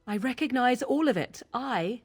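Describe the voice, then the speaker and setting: An actress playing a proud, troubled young witch in a fantasy game, with formal, archaic, deliberate phrasing. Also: fairly high pitch, animated, breathy edge, no echo. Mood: grim, resigned.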